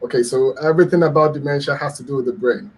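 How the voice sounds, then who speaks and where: A man's voice: medium pitch, fast talker. A middle-aged man from Ghana, a public health lecturer, explaining a health condition on a radio talk show in an earnest, explanatory way with frequent ums and repeated words.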